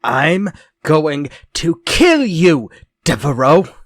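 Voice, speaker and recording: An actor playing a sinister, vengeful ex-convict murderer in retro radio drama style, speaking in a slow, theatrical, menacing way. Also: male voice, fairly high pitch, very animated, no room sound, studio quality, full character voice. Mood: vengeful menace.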